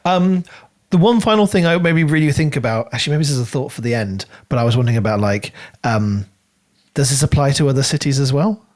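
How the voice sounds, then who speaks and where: A man's voice: mid-range voice, animated, fast, a little mellow. A man co-hosting a podcast, talking casually and in a rambling way as he thinks aloud about a book. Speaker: podcast co-host. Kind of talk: casual book review discussion.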